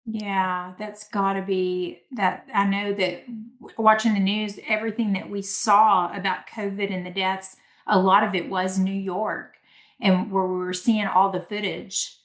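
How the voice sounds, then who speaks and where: A woman's voice: mid-range voice, little pitch movement, unhurried, a bit bright. A woman hosting an interview, asking questions in a friendly, hesitant way. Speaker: podcast host. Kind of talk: conversational interview. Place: Central Texas. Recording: echoey room.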